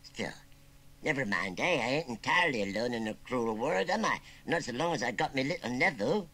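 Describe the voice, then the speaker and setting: Raspy old West Country scarecrow. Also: male voice, medium pitch, animated, fairly quick, sharp tone, pitched above the performer's own voice, heavily acted. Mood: mournful, wistful. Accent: English West Country. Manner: rambling, folksy, plaintive. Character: rustic, sentimental, put-upon old scarecrow uncle.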